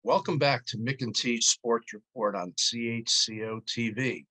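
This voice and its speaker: A man's voice: medium pitch, unhurried, bright, forceful tone, slightly rough. Male sports show host, mid-range voice, introduces segments and handles interviews. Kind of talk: scripted show hosting and interview.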